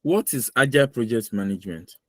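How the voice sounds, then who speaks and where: Man, firm lecturing coach, mid pitch, dry close sound, video call, repeats himself for emphasis. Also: expressive, fairly quick, no room sound. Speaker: mock visa interviewer and coach. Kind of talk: mock visa interview and feedback session.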